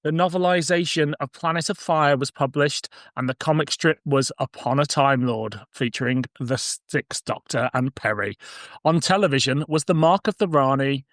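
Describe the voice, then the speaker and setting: A man's voice: on the high side, moderate pace, fairly bright tone, no echo. A man in his forties hosting a podcast, switching between casual chat and scripted summaries. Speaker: podcast host.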